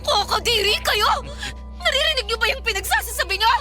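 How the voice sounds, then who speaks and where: An actress playing a frightened but defiant young woman in a radio drama, desperate and shrill. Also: animated, brisk, music in the background. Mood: disgusted defiance.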